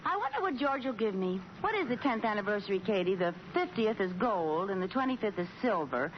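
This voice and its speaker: An actress playing an excitable, scheming young wife in a theatrical, exaggerated vintage radio sitcom style. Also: female voice, medium pitch, fairly quick, close to an everyday voice. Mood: playful, hopeful. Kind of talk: 1940s radio sitcom acting. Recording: dull, noisy vintage radio recording.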